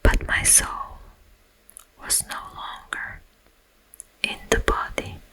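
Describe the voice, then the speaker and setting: Female performer as sleep paralysis demon, ASMR roleplay, breathy whisper, extremely slow, eerie, soothing menace, drawn-out phrases. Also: rough recording. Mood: eerie, hushed. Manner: slow, hushed, drawn-out phrasing with long pauses.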